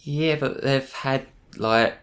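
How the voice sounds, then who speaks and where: A man's voice: medium pitch, slow, a bit bright. A man doing casual, wry gaming commentary with some swearing. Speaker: let's play commentator. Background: game music.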